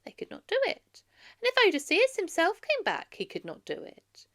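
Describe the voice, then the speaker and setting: An arrogant male suitor played by a woman reader scoffs and lays blame in a storybook tale. The manner is taunting and defiant, with a bright, forceful tone and swooping pitch. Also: huge pitch swings, moderate pace, exaggerated voice. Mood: scornful.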